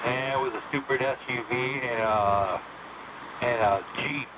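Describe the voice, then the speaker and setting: An American man chatting casually over CB radio in a plain-spoken, halting way, often repeating himself. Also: mid-range voice. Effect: CB single-sideband radio. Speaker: amateur CB radio operator. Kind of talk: casual local-news chat. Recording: muffled lo-fi CB radio, static.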